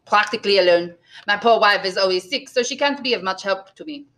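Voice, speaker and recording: A woman's voice: mid-range voice, lively intonation, fairly quick, fairly bright tone, lightly acted. A woman voicing a self-pitying, bitter male innkeeper who is eager to please, plaintive and emphatic, in an audiobook.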